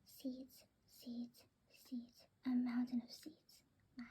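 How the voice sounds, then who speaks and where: A woman's voice: on the high side, completely flat delivery, slow pace, dark tone, breathy edge, heavily acted, poor audio. A woman voicing a small, picky pet bird who mutters possessively in a children's story. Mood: greedy muttering. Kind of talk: children's story read aloud.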